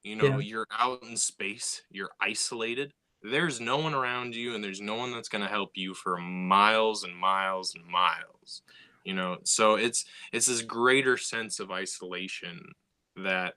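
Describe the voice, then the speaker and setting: Young male writer, bright tone, casual rambling talk full of fillers. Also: lowish voice, unhurried. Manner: rambling, many fillers and restarts. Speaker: sci-fi writer. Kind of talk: casual conversation.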